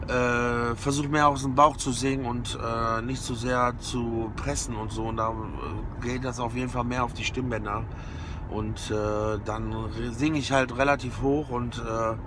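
A man in his forties with a slightly sore throat, talking casually to his phone from inside a car in a rambling way with many hesitations. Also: medium pitch. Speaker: musician keeping a recording diary. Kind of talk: casual video diary. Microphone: phone camera at arm's length. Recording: phone in a car, audible road noise. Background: car and road noise.

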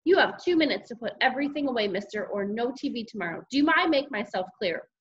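A stern, exasperated mom, acted out by a woman reader, in a children's audiobook. The voice rises high and swoops through sharp questions and sarcastic scolding. The sound is slightly dull. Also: lively intonation, fast, slight background noise. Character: stern, exasperated mother scolding her young son.

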